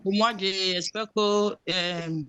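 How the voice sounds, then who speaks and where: A man's voice: high voice, fairly even intonation, slow, bright, forceful tone, clean tone, highs cut off. A man from Nigeria, a student, introducing himself in hesitant, formal French.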